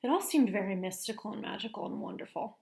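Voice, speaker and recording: A woman's voice: mid-range voice, expressive, fast talker, vocal fry, a little mellow. A woman voicing an eager, money-loving eleven-year-old girl who narrates a storybook earnestly and with dramatic emphasis. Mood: earnest, wistful. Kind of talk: storybook first-person narration.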